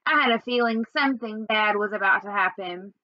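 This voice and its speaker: A young adult woman reading a children's novel aloud in an expressive storytelling manner. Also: mid-range voice, brisk, dry recording, lo-fi sound. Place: small indoor room at home.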